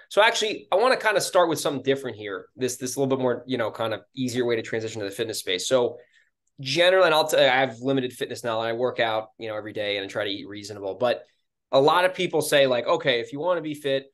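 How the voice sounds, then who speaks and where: Fast-talking young male podcast host. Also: medium pitch, fairly bright tone. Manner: upbeat, fast-talking, casual filler "like". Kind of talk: podcast interview over video call. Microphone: video call webcam.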